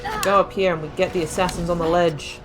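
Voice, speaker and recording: Young woman gamer rambling casually thru her playthrough, kinda low voice. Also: fairly even intonation, fast, over music, rough recording. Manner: chatty, thinks aloud about story choices. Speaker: gaming video creator. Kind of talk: casual let's-play commentary.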